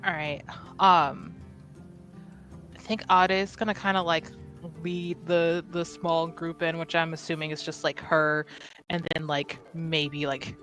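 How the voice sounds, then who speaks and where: A woman's voice: sits low, flat delivery, a little slow, fairly bright tone, faint music behind. A young woman player thinking aloud as she describes her character's actions in a tabletop game, hedging often.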